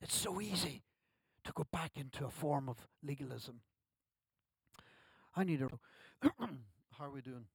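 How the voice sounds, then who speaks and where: A man's voice: mid-range voice, lively intonation, a little slow, vocal fry, pauses often, breathy edge, no echo, studio quality. A man, preaching a sermon at a church service in a conversational way with self-deprecating asides. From Ireland. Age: 60s. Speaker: pastor.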